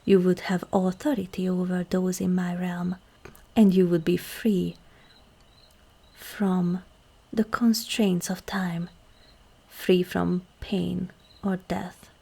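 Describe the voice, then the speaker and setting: A woman playing a regal, seductive ancient demon queen in an ASMR roleplay, measured and commanding, with a husky, breathy, creaky voice that often softens toward a whisper. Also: on the low side, little pitch movement, heavily acted. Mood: solemn, persuasive. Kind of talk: ASMR roleplay, scripted monologue to the listener.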